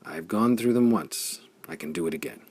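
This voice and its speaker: A man's voice: deep voice, lively intonation, normal speed, darkish tone, slightly rough. A man voicing Flame, a grim, stubborn male sword hero, speaking in firm, determined lines in an audiobook.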